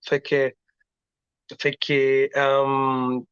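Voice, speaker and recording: Singer guest, male, halting and earnest, middle pitch, muffled lo-fi recording. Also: monotone, fairly bright tone, clear, resonant tone, dry recording. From Latin America. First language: Spanish. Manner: earnest, halting, frequent restarts. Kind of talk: casual interview talk. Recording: dull lo-fi audio.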